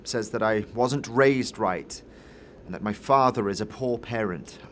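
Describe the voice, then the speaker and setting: A man's voice: lowish voice, a little slow, a bit bright, slight background noise. A man voicing a nervous, scruffy young man with a hesitant, stammering delivery in a fantasy audio drama. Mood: sullen.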